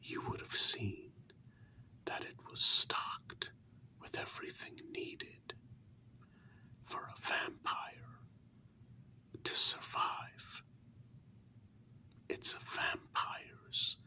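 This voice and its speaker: A man voicing a hurt human lover confronting a vampire partner in a whispered ASMR role-play, with earnest, deliberate, drawn-out words. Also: pushed and bright, heavily acted. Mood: reproachful, insistent. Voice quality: breathy unvoiced whisper.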